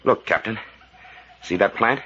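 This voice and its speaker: An actor playing a grave, knowledgeable doctor in a 1940s radio adventure, speaking in a formal, ominous, measured way. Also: male voice, on the low side, bright, forceful tone. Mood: grave, warning. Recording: noisy, muffled vintage radio recording.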